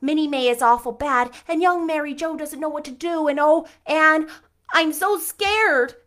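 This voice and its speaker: A woman's voice: high voice, moderate pace, fairly bright tone, pitched above the performer's own voice. A woman voicing a frightened young girl in a storybook reading, pleading breathlessly and close to tears. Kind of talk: audiobook character voice.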